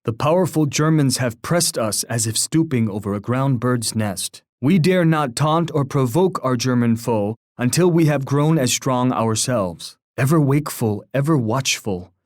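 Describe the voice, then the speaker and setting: A man performs a solemn war chief for a strategy video game. He is dignified and oratorical, delivering formal, poetic lines with measured gravity in a dry, close recording. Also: mid-range voice, lively intonation, darkish tone, no room sound, lightly acted. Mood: grave awe. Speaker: game character voice.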